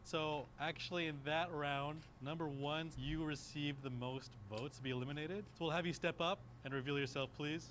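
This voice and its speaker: A man hosting a game show, making measured, formal announcements. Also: fairly high pitch, steady tone, noisy background.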